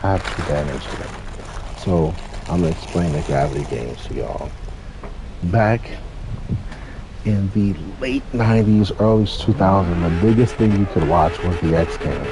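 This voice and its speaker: A man, telling stories in a casual, rambling, slangy way with some swearing. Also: deep voice. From California, United States. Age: 30s. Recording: noisy, with car engine sounds behind. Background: racing game car engines.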